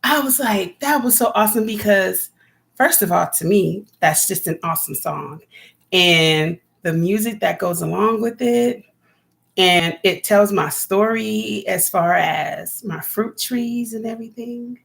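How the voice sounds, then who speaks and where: Raspy chatty woman, gravelly voice. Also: medium pitch, a little slow. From California. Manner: chatty, rambling, talks to viewers by name. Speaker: homesteading vlogger. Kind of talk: casual live-stream chat with viewers.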